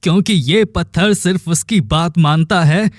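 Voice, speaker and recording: An earnest young village hero from a cartoon tale, acted by a man, speaks in a fairly high male voice. His delivery is slow, dry and dramatic, that of a determined, devoted brother. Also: no room sound, natural voice. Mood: resolute. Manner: dramatic, vowing, deliberate. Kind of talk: cartoon story acting.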